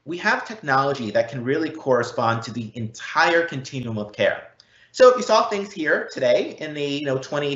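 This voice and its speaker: A man's voice: mid-range voice, a bit bright. Roomy-sounding man presenting online. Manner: explanatory, checks in with "right?" and "okay?". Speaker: presenter, technology consultant. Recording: roomy, slightly dull online event audio.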